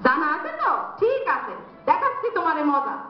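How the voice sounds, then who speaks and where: A woman's voice: very high voice, very animated, fairly quick. A woman playing a sulky, stubborn village wife in a comic drama, answering back indignantly. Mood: threatening. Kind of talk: comic stage-style drama acting. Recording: very echoey, dull hall recording, faint noise.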